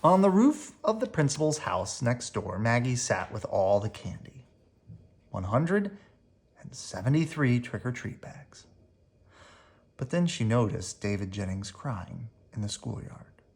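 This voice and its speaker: An expressive storybook reader with a very animated delivery. The pitch moves up and down freely, and key words get drawn out for dramatic emphasis, as in a bedtime read-aloud for children. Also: a little slow.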